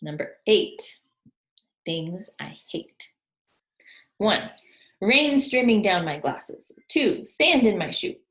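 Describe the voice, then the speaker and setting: An anxious, fussy male storybook character, acted out by a woman reading aloud. The delivery is slow, earnest and precise, with very animated pitch. The sound is roomy and echoing. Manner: earnest, precise, reciting lists. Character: Wallace, anxious fussy male list-lover. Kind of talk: children's storybook read-aloud.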